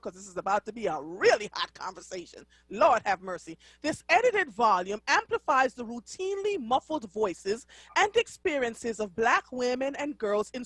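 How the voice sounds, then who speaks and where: A woman's voice: on the high side, fairly bright tone, husky, dry recording. A middle-aged woman from Jamaica with a Jamaican accent, hosting a live radio talk show in an effusive, exclamatory way and laughing often.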